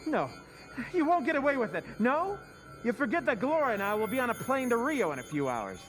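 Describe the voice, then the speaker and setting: A man's voice: very high voice, sing-song, fairly quick, fairly bright tone, faint music behind, heavily acted, poor audio. A man playing a scheming robot double. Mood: menacing. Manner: smooth, falsely sweet, then menacing. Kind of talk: old-time radio drama recreation.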